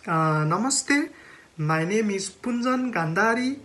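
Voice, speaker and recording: A woman from Nepal giving a scripted presentation, reading prepared text in a measured, formal way. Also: medium pitch, animated, fairly bright tone, a little hiss or hum. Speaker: community organisation co-founder. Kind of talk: scripted presentation in a recorded video. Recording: plucked string music behind the voice.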